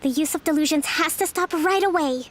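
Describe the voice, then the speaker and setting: A woman's voice: high-pitched, fairly quick, lightly acted. A woman playing a poised, earnest young female army leader in a video game, speaking with formal command. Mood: grave, resolute.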